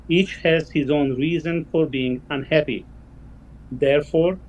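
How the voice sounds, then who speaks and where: A man's voice: fairly high pitch, a little hiss or hum, poor audio. A man with a non-native English accent hosting a hybrid group meeting and reading a text aloud in a friendly, careful way. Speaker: workshop host and reader.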